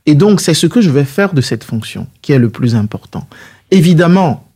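This is a man with a husky, slightly high voice, speaking as a guest in a political interview. He lays out his points in a structured, didactic way, using rhetorical questions. The tone of the voice is soft-edged and mellow. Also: very animated, normal speed. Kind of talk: measured political interview answers.

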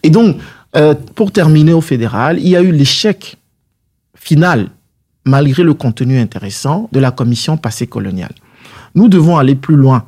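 A man's voice: a little high, lively intonation, normal speed, dark tone, slightly rough. A man answering interview questions as a political guest, in a didactic, structured and rhetorical way.

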